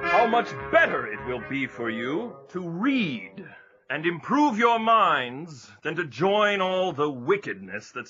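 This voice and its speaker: A stern, high-voiced sea captain. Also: male voice, sharp tone, highs cut off, full character voice. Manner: stern, commanding, deliberate. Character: strict temperance-minded male sea captain. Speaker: ship's captain addressing his crew. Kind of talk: audio drama acting.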